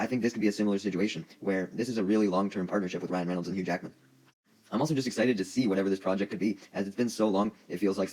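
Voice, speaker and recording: A man with a low-pitched voice talks at a quick clip. He delivers news commentary in a casual, opinionated way, like an online host. His wording is informal and peppered with swearing. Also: darkish tone, low-quality recording.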